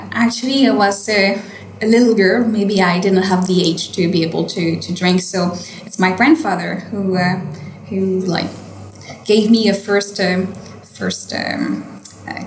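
Echoey French woman winemaker, hesitant. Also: mid-range voice. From France. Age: late 20s. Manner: hesitant, many fillers and restarts. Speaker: winemaker guest. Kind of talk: interview answers. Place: hotel wine cellar.

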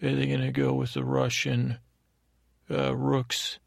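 Very creaky man, meandering bedtime rambler. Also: mid-range voice, completely flat delivery, no room sound, studio quality. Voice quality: creaky, gravelly. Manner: meandering, halting, digressive; lulling, soothing. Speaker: podcast host. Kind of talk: rambling bedtime monologue to lull listeners to sleep.

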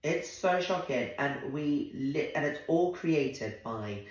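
A man from Zimbabwe, a drag performer guesting on a podcast, telling a story in animated, indignant bursts with quoted dialogue. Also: on the high side, slow pace. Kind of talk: casual conversational storytelling. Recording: very echoey room.

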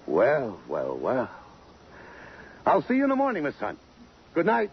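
A man's voice: medium pitch, huge pitch swings, unhurried. An actor playing a tough American police lieutenant in 1950s radio drama, blunt and pressing as he questions people. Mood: firm, softening. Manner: blunt, pressing interrogation, slangy. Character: tough, persistent male police detective lieutenant. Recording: muffled, hissy 1950s radio broadcast.

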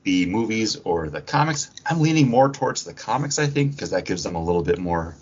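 A man co-hosting a podcast, casually running through news with a fan's enthusiasm and a husky voice. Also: lowish voice, animated, brisk, background noise. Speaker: podcast co-host. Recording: dull lo-fi recording.

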